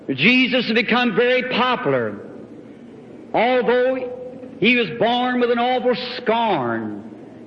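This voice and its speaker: A man preaches a revival sermon as a Pentecostal preacher, in a folksy, exhorting, exclamatory manner. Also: very high voice. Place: large hall, speaking from a platform. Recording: dull, noisy old hall recording, long reverb.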